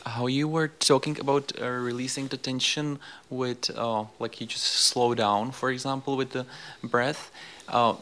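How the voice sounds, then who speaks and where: A man's voice: medium pitch, fairly even intonation, unhurried, hardly any pauses, bright, forceful tone, a little hiss or hum. A man, a meditation student, asking a question hesitantly with many fillers.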